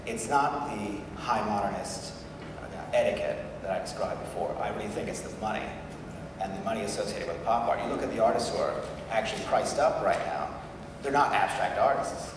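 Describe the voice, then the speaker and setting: A man's voice: on the low side, brisk, background noise. A man in his thirties, a journalist on a panel, making a structured, articulate argument. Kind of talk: panel discussion. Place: large hall stage. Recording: echoey hall heard from far off.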